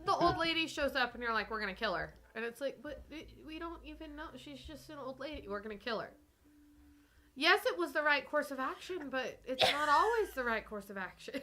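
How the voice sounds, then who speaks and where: A woman with a high, bright voice trades casual banter as a player in a tabletop game. Her pitch moves around a lot, giving her talk an animated, lively swing. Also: brisk.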